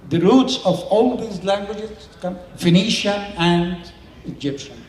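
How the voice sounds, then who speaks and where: A man's voice: sits high, animated, a little slow, darkish tone, echoey room, big-room sound, slight background noise. An older man whose first language is Syriac, speaking plain non-native English in short phrases as an interviewee and community elder. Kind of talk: explaining to a small audience.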